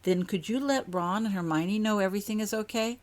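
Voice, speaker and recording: A woman's voice: mid-range voice, brisk, a little mellow, pitched above the performer's own voice, lightly acted. A woman voicing a kind, cheerful middle-aged wizard father in a storybook. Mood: warm, grateful.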